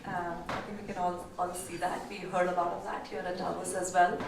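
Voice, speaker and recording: A woman's voice: lowish voice, little pitch movement, brisk, gravelly. Woman, panel moderator, hesitant, fillers, echoey, distant. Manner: hesitant, many fillers and restarts. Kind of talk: panel discussion. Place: large echoey lounge. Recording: echoey room from far off, noisy.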